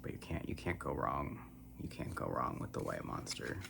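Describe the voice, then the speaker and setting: A soft-spoken ASMR creator rambling casually and meandering, trailing off toward near-whispers. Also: sing-song, moderate pace. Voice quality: soft, low, creaky voice. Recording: noisy recording with tapping and humming. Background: hum, tapping and scratching sounds.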